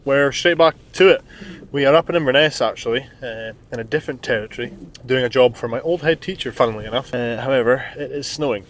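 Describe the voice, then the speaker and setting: A man's voice: mid-range voice, quick pace, a little hiss or hum. Stuffy-nosed young Scottish man. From Scotland. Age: 20s. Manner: candid, chatty, with fillers and "uh". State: congested with a cold. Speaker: vlogger and business owner. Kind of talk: casual vlog narration and chat. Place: outdoors at a job site, snowy street.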